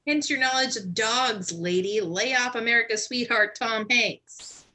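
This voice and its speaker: Mock-outraged bright-voiced woman. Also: fairly high pitch, a little slow, rough recording. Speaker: comedic commentator. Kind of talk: mock-indignant comedic commentary.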